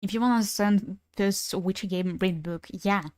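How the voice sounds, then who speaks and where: A woman's voice: mid-range voice, fairly even intonation, moderate pace, darkish tone, clean tone, dry recording, clean studio sound. Chatty young woman streamer, Ukrainian native. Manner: chatty, swears casually, laughs between thoughts. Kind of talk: casual gaming stream chat.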